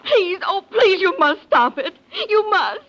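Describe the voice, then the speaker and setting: An actress playing an intense, scheming heiress in old-time radio melodrama, speaking in a theatrical, emphatic way. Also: female voice, very high voice, sing-song, a little slow, a bit bright, heavily acted. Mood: agitated pleading. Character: scheming heiress, outwardly pleading and sympathetic, intense. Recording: muffled vintage radio recording.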